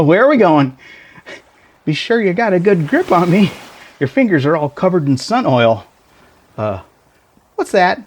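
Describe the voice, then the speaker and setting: Male actor as shrunken boyfriend for intimate audio roleplay: affectionate tease, slow delivery, lively swooping intonation. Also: high-pitched, slight background noise. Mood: panicked, yelping. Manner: playful, teasing, affectionate, theatrical exclamations. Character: tiny shrunken boyfriend, playful, teasing, doting. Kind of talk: intimate scripted roleplay monologue, ASMR.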